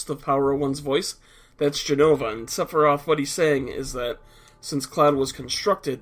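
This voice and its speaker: A man with a stuffy, congested nose talks through a game's story in an easygoing, explaining way, now and then blunt or a bit crude. His voice sits a little high for a man, with soft game music faintly underneath. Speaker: let's play commentator. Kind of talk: casual game commentary.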